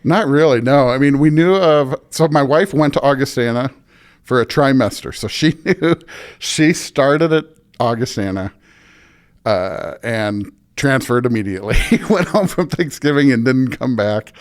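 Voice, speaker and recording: A man's voice: mid-range voice, lively intonation, measured pace. A middle-aged American man chatting casually and telling anecdotes as an interview guest on a podcast.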